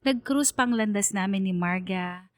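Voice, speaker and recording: A woman's voice: mid-range voice, measured pace, no echo, studio quality, lightly acted. An actress playing a troubled young woman who narrates her own story in a radio drama, confiding and earnest. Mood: wistful, confiding.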